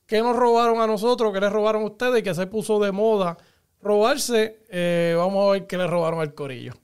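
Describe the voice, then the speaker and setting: Puerto Rican man hosting, high and smooth. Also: brisk. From Puerto Rico. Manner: animated, jokey, asks the others questions. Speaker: podcast host. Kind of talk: casual chat.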